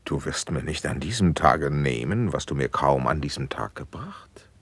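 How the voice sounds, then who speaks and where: Deep, gravelly, stately prince. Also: male voice, expressive, dry recording, heavily acted. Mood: gently advising. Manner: formal, stately, deliberate declamation. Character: gracious, measured prince, patron of a poet. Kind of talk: classic German verse radio drama acting.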